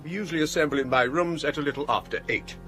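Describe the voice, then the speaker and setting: A man playing an older Edwardian college provost in a radio drama, with an English accent and formal, courteous, measured speech. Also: fairly high pitch, fairly bright tone, soft background music, close to an everyday voice. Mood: courteous, dry. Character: older scholarly Edwardian college provost telling ghost stories.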